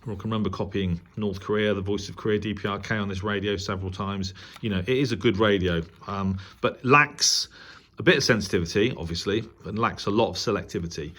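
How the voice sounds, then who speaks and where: A British man giving a casual, unscripted radio review as a hobbyist vlogger, rambling along in a hesitant, halting way. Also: lowish voice, a bit bright. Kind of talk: casual unboxing and review talk.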